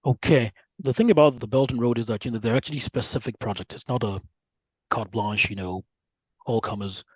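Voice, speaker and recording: A man's voice: medium pitch, brisk, slightly rough, dry recording. A man with a Nigerian accent explaining his views as an interview guest, conversational, often checking in with the listener. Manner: conversational, often checks with "right?" and "you know". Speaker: guest researcher. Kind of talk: podcast interview, explaining with analogies.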